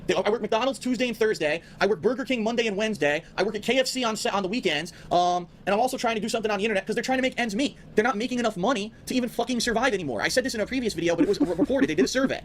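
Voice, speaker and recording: A man's voice: sits high, expressive, rapid-fire, hardly any pauses, slight background noise. A man delivering an angry, profane rant in a commentary video. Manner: angry, profane, emphatic ranting. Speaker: video creator played on stream.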